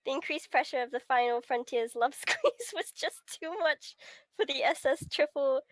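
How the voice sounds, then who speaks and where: Woman with a high voice reading game lines for fun, very dry sound no room. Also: lively intonation, moderate pace, no echo. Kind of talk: casual chat and amateur read-aloud of game script.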